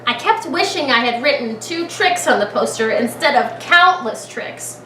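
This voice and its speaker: A woman with a high, very lively voice reads a story to small children in the manner of a kindergarten teacher. She paces it with dramatic pauses and thinks aloud for her listeners. The recording is roomy and echoing, captured at a distance from the mic. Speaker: kindergarten teacher reading a picture book aloud. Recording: echoey room, distant mic, clear background noise.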